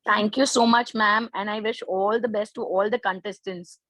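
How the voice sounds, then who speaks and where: A woman's voice: mid-range voice, fairly even intonation, moderate pace, fairly bright tone, no room sound. A woman guest on a video call, offering polite good wishes. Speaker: guest audience member. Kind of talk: polite well-wishing.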